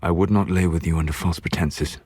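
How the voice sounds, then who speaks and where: A man playing a solemn, regretful ancient elven mage in a video game, speaking in measured, formal phrases. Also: sits low, steady tone, a little mellow, breathy edge, no echo, clean studio sound. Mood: grave, regretful. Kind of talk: video game character dialogue.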